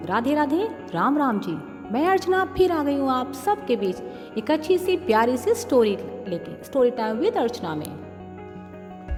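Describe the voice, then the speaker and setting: High-pitched woman presenter in long reverb. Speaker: host of a story and poetry show. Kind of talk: expressive poetry recital and audience address. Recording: long reverb with music behind.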